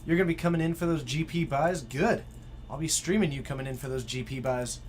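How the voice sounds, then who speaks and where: A man's voice: on the high side, fairly quick, a little hiss or hum. A man in his twenties, commentating a live card game stream from a game store with dry joking banter. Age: 20s.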